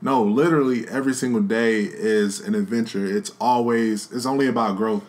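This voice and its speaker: A man co-hosting a casual podcast with his wife, talking in a relaxed, slangy, earnest way about becoming a father. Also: lowish voice, moderate pace. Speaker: podcast co-host, expecting father. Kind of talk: casual chat.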